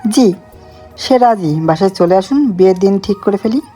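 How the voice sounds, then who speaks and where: A woman's voice: mid-range voice, animated, moderate pace, dark tone, husky, soft background music, pitched below the performer's own voice. A woman voicing a polite, accommodating middle-aged father in a dramatised romantic story. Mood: polite, agreeable.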